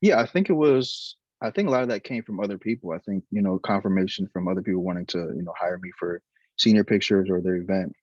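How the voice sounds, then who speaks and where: A man's voice: low-pitched, fast talker, a little mellow, dry recording, lo-fi sound. A young man from Liberia, a photographer talking casually about his career, hesitant with many fillers and restarts. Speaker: photographer, interview guest.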